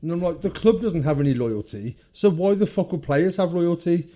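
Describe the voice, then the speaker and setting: Loud podcast guy around 30, blunt and sweary, mellow tone. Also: on the high side, lively intonation, normal speed. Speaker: podcast co-host. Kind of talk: casual chat. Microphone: studio mic without headphones.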